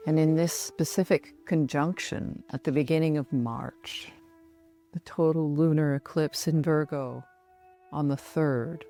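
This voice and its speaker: A middle-aged woman guiding a relaxation meditation in a soothing, measured manner with long pauses. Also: low-pitched, mellow. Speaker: yoga nidra meditation guide.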